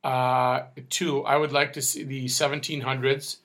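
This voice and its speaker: A man's voice: medium pitch, little pitch movement, a little slow, fairly bright tone. Middle-aged father, casual co-host, boastful and teasing. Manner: boastful, playful and defensive. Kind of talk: casual family chat.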